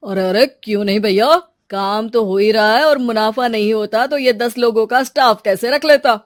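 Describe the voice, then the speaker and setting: A woman's voice: fairly high pitch, normal speed, no echo, studio quality, natural voice. A woman voicing a chatty, persuasive young male restaurant owner in a moral story.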